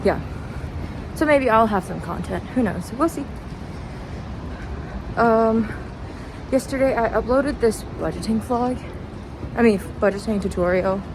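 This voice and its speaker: A woman's voice: a little high, unhurried, long pauses. A young woman chatting casually as a vlogger while out walking, with hesitant, meandering thoughts. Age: young adult. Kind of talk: casual rambling walking vlog. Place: outdoors, walking. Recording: noisy outdoor street, traffic ambience. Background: street and vehicle noise.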